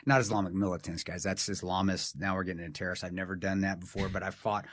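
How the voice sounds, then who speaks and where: A man's voice: deep voice, flat delivery, fast talker, a bit bright, slight background noise. A man with a nasal voice chatting casually as a podcast host, rambling and poking fun at himself. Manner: rambling, self-deprecating, swears casually.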